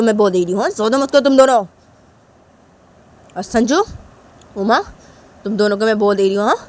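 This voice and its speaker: A woman's voice: very high-pitched, pitched above the performer's own voice. A woman voicing a mother who shrieks at her quarrelling children to stop. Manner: scolding, shrieking orders. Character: shrill scolding mother breaking up a fight.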